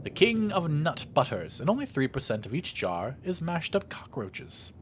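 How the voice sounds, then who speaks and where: A man's voice: on the high side, normal speed, background noise. A man narrating a video game playthrough, reading the text aloud theatrically and adding his own casual commentary. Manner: expressive, theatrical read-aloud delivery. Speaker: let's play host.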